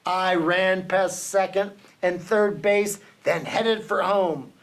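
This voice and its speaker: A man reading a children's picture book aloud for a reading program, telling the story with dramatic pauses. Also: high-pitched, fairly even intonation, a bit bright.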